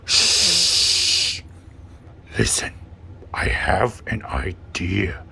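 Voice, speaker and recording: A man voicing a lovable, easily frightened furry monster in a children's storybook puppet show, with a raspy voice and melodramatic delivery. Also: fairly low pitch, wildly swooping pitch, bright, forceful tone, exaggerated voice. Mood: hushed, secretive. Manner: melodramatic, drawn-out, emphatic delivery without contractions.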